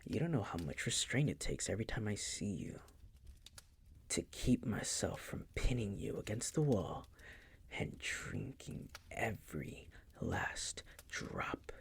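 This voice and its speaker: A man playing a possessive, coolly menacing vampire master in an intimate audio roleplay, teasing and condescending in a breathy, gravelly whisper. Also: on the low side, sing-song, full character voice. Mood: hungry, possessive. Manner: slow, condescending, teasing, coaxing.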